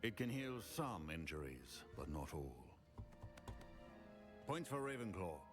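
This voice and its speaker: A man playing a stern, exacting potions professor in a fantasy video game, speaking in a measured, formal manner. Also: sits low, big pitch swings, music in the background, exaggerated voice. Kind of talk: video game character acting.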